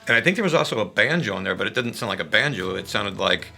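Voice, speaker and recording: A man from a band, talking casually and at length about how one of their songs was made, relaxed and quick to laugh. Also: on the low side, gravelly, faint music behind. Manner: relaxed, rambling, laughs easily. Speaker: band member discussing a song. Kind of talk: casual conversational chat.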